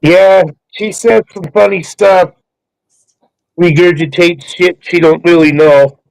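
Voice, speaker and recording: A man's voice: high-pitched, steady tone, slow, creaky, no echo, low-quality recording. A man making casual remarks in conversation. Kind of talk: casual chat.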